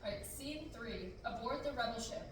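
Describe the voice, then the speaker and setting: Lively woman reading stage directions. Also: fairly high pitch, normal speed. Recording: echoey room from far off, background noise.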